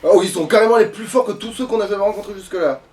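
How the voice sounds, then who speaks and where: A man's voice: sits high, very fast, darkish tone. A man giving casual commentary as he plays a video game, grumbling and swearing freely. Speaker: let's play gamer. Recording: distant mic with faint noise.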